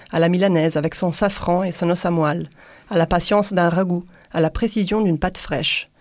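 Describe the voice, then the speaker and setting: A woman with an Italian accent, hosting and reading a reflective segment in a measured way, with occasional repeated syllables. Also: on the low side, a little mellow, no echo. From Italy. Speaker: podcast host. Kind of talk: reflective scripted commentary.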